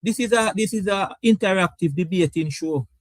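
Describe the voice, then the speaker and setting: A man with a Caribbean English accent hosting a sports debate show, animated and repeating his words. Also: sits high, moderate pace, dry recording, clean studio sound. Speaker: show host. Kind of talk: lively sports debate talk.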